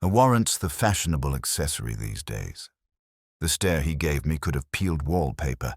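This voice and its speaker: A man's voice: a bass voice, very animated, moderate pace, gravelly, dry recording, clean studio sound. A man narrating a first-person detective story in a wry, hard-boiled, scripted manner. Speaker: audiobook narrator.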